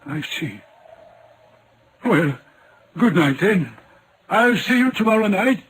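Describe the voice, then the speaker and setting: Troubled railway signalman, old-time radio horror. Insistent, dramatic storyteller, voice a little high, some background noise. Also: big pitch swings, takes it slowly, full character voice. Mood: grave, troubled.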